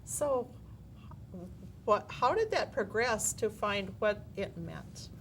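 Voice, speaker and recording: Woman, talk show host, gentle and reflective, mid pitch, studio, faint rumble underneath. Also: big pitch swings, slow. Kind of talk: faith-based talk show interview.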